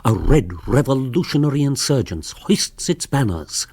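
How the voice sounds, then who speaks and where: A wry storyteller in a radio comedy. He is urbane and lively in pitch, with a husky tone and a dry studio sound, relishing each literary phrase. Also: normal speed, no room sound. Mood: wry, dramatic. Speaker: narrator. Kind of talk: dramatised literary narration.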